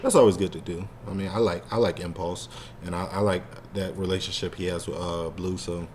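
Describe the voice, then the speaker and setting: A man's voice: low voice, steady tone, fairly quick, darkish tone, slight background noise. A man co-hosting a casual fan podcast, talking in a rambling, slangy way with lots of "like". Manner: rambling, heavy use of "like" and slang. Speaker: podcast co-host.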